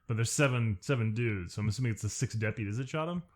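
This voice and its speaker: A man's voice: mid-range voice, fast talker. A man co-hosting a casual podcast, joking and mocking the film, often laughing along. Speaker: podcast co-host. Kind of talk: casual film review chat.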